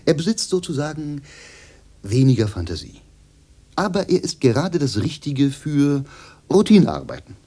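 A man's voice: fairly high pitch, big pitch swings, normal speed, warm tone. An actor in a radio play voicing a glib, eager American salesman who chats through his sales patter. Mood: smooth, explaining.

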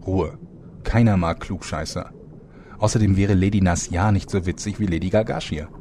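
A man's voice: sits low, moderate pace, warm tone, a little hiss or hum. A man playing a wry, bossy storyteller, delivering scripted comic narration with dry irony. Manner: dry, ironic.

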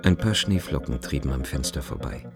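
A man's voice: extremely low pitch, animated, a little fast, creaky, over music. A man reads literary narration for a radio play.

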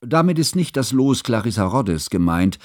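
An actor playing an earnest, cultured schoolteacher who narrates a radio drama in measured, formal phrases. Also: male voice, medium pitch, big pitch swings, no echo, studio quality, natural voice. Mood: grave, reminiscing. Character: earnest, cultured male schoolteacher recalling past events with foreboding.

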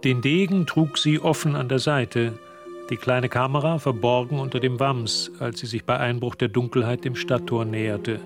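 An actor narrating a literary radio drama as a composed storyteller, reading scripted prose. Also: male voice, mid-range voice, steady tone, normal speed, music in the background, lightly acted.

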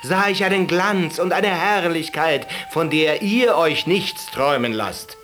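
A man puts on a brazen, mocking shepherd who hurls insults without fear. The voice jumps high and loud, bright and cutting. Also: expressive, a little slow, soft background music. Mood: defiant insolence. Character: defiant insolent male shepherd.

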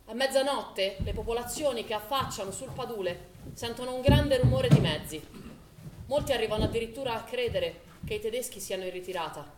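A woman's voice: on the high side, a little fast. A woman, a stage actor, giving dramatic scripted narration in a theatrical storytelling manner. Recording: echoey theatre recording with clear noise.

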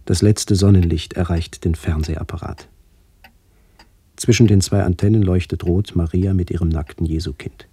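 An actor playing a brooding, melancholy middle-aged man who narrates a literary radio play in a measured, introspective way. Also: low voice, steady tone, mellow, husky, no room sound. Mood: contemplative. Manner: measured, introspective, poetic delivery.

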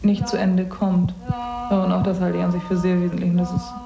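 A woman's voice: lowish voice, fairly quick, gravelly, highs cut off. A woman giving a reflective, considered interpretation of music, searching for the right words. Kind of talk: reflective spoken analysis. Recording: echoey room with crowd noise.

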